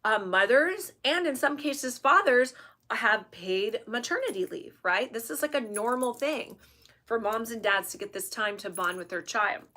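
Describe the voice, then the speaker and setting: A woman's voice: fairly high pitch, animated, a little slow, sharp tone. A woman from the United States hosting her channel, giving emphatic opinion commentary to camera and often checking in with tag questions. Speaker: channel host.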